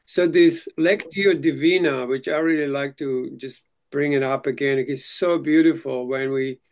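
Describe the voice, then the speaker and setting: A man whose first language is Slovak, leading a casual reading group chat and speaking haltingly, with frequent restarts. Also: mid-range voice, fairly even intonation. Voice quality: halting, many restarts and fillers.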